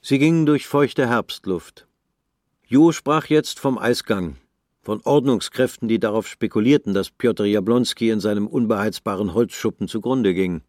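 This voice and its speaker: A man narrating a literary radio play in a measured, even way. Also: fairly low pitch, no echo, clean studio sound.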